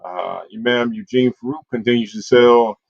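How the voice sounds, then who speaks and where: A man's voice: mid-range voice, moderate pace, gravelly, dry recording. A man hosting an online community program and reading out announcements over a video call. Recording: dull, lo-fi video call audio.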